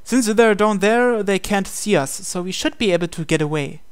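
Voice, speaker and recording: A man's voice: sits high, moderate pace, clear, resonant tone, dry recording. A man reading visual novel narration aloud in a dramatic storytelling style. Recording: game music playing behind. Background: video game music.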